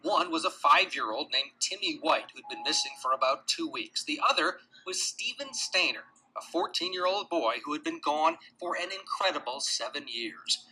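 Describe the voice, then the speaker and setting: A man reads a scripted news report in a very bright, sharp voice set fairly high. There is faint noise in the recording. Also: expressive, normal speed, rough recording.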